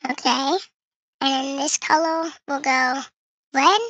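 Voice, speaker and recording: A very bright, high voice run through a voice changer gives casual game commentary. It is creaky and sounds dry and close, chatting to viewers and thinking aloud while playing. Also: steady tone, takes it slowly, dry recording, lo-fi sound. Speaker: gaming video creator.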